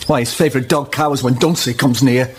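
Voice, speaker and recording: An actor playing a proud, cornered young squire's son, bitter and indignant in a period radio drama. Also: male voice, mid-range voice, steady tone, brisk, a little hiss or hum, natural voice. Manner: indignant, tense, clipped threats.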